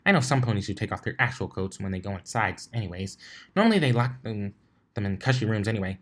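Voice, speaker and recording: A man's voice: lowish voice, animated, fast talker, fairly bright tone. A man voicing a hyperactive, bubbly young female cartoon pony with a gushing, chatty manner. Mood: rambling.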